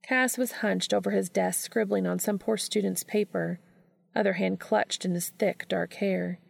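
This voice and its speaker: A woman's voice: medium pitch, fairly even intonation, unhurried, gravelly, breathy edge, no room sound, clean studio sound. A woman reading a scripted story aloud as an audiobook narrator. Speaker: audiobook reader.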